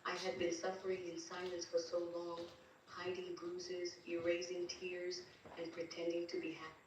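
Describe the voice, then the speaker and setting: A woman voicing a terrified, grieving wife, telling her story in slow, dramatic first-person narration. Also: lowish voice, monotone, close to an everyday voice. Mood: mournful. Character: terrified, grieving young wife recounting abuse from beyond death. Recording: distant, very echoey, faint noise.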